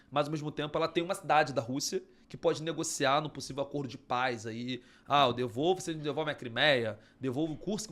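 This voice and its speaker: A man's voice: medium pitch, a bit bright. A man from Brazil co-hosting a news podcast, explaining current events in a conversational way. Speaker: podcast co-host. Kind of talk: casual news-discussion chat.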